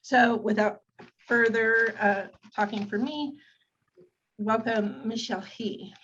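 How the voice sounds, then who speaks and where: A woman's voice: on the high side, steady tone, takes it slowly, fairly bright tone, a little hiss or hum. A woman host reading a speaker introduction with a few small slips, over a video call. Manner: reads carefully, with small slips. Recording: dull video call audio.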